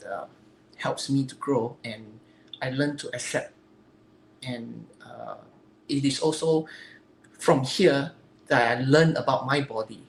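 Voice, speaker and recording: A woman from Singapore with a Singaporean English accent, answering interview questions earnestly and haltingly, searching for her words. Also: very deep voice. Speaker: interview guest, physique competitor. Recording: echoey room, faint ambient noise.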